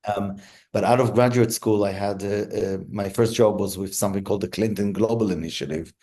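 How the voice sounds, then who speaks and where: A man in his forties from Israel, answering interview questions in a relaxed storytelling way with frequent ums and restarts. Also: on the low side, brisk. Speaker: interview guest, negotiator.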